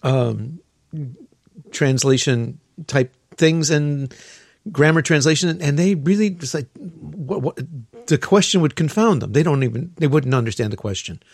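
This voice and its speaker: A man's voice: a little high, measured pace, creaky, slightly rough, no room sound, studio quality. A man hosting a casual podcast conversation, talking loosely with many fillers and restarts. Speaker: podcast host.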